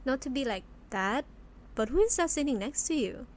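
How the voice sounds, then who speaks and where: A woman playing an excitable, prim head of a crime ring's plant department, polite with a snide edge, in an audio drama. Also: high voice, moderate pace. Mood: polite, curious. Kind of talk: scripted audio roleplay acting.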